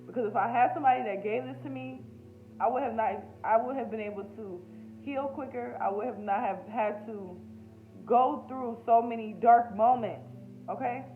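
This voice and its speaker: A woman's voice: a little high, normal speed, narrow, tinny audio, faint music behind. An American woman talking off the cuff in a personal vlog, candid, emotional and slangy, with plenty of swearing. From United States. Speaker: YouTube spiritual healer and host. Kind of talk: casual, unscripted personal vlog.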